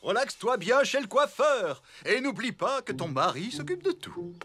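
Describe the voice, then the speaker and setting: A man's voice: extremely high pitch, big pitch swings, moderate pace, heavy background noise, heavily acted. A man voicing a cheerful, easygoing elephant father in a children's cartoon, jovial and reassuring. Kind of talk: French children's cartoon acting. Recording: music behind the voice.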